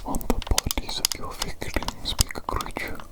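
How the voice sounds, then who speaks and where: A woman voicing a fussy, gleeful evil doctor in a whispered ASMR roleplay, softly repeating her phrases. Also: unhurried, close to an everyday voice. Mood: musing.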